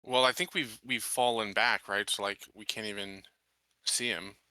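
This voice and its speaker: A man's voice: on the low side, fairly even intonation, normal speed, pushed and bright, no echo, low-quality recording. A man chatting casually as a tabletop game player, thinking aloud about his moves.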